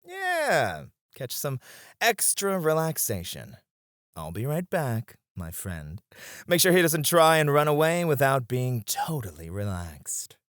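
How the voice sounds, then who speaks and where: Man playing a supportive host with swooping pitch. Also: huge pitch swings, slow pace, a bit bright, no echo, clean studio sound, heavily acted. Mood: friendly, playful. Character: supportive, playful male lounge host looking after a colleague. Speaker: voice actor. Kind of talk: ASMR roleplay acting.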